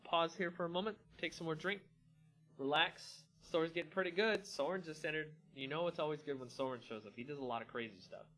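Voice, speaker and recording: A man hosting and narrating a dramatic fantasy story reading with an expressive, theatrical delivery. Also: fairly high pitch, a little fast, soft background music. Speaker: channel host and story narrator.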